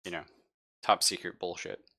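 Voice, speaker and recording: A man with a very low, forceful voice acts as game master. He improvises narration and rulings in casual, slangy speech and swears freely. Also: steady tone, moderate pace.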